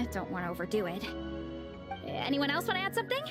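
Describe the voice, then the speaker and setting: A woman's voice: high-pitched, wildly swooping pitch, brisk, over music. A woman playing a bubbly, encouraging young female athlete with a big appetite in a video game, speaking eagerly and emphatically. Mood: eager, hungry. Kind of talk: English video game voice acting.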